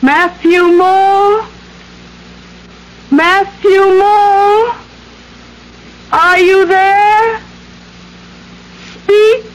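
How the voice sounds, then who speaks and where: An actress playing a gentle young daughter in a trance, calling out slowly and plaintively in an old-time radio drama. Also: female voice, very high voice, fairly even intonation, exaggerated voice. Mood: entranced, pleading. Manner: slow, drawn-out, plaintive calling. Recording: noisy old radio recording.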